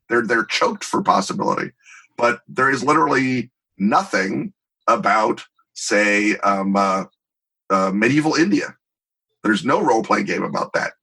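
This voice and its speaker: Husky, bright-voiced man explaining emphatically. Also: mid-range voice, rough recording. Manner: emphatic, wry, digressive with vivid examples. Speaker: interview guest, tabletop game designer. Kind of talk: conversational podcast interview, explaining.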